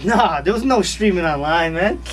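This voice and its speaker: A man's voice: high voice, expressive, moderate pace, distant mic. A man in his thirties, a guest on a radio show, talking in a laid-back, slangy way and repeating his phrases. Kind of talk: casual radio interview chat. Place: radio studio.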